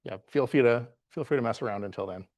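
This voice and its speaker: A man in his forties, originally from the Bahamas, presents at a tech meetup in a mid-pitched voice. He speaks in an easygoing, halting way, often pausing on "uh" and restarting his sentences as he walks through slides. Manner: relaxed, halting, many "uh" fillers and restarts. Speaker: guest presenter, software engineer.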